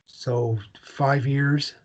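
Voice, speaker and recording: A man's voice: medium pitch, level tone, extremely slow. A man acting a protective, insistent character in a naturalistic drama table read, bluntly probing and stumbling into repetitions. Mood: concerned probing.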